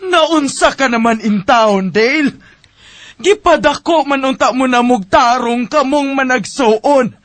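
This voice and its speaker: A woman's voice: high-pitched, a bit bright, dry recording. Stern, scolding Filipino mother, close and dry. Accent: Filipino (Bisaya). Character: Dale's stern mother, reproaching her grown son. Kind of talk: Filipino radio drama acting.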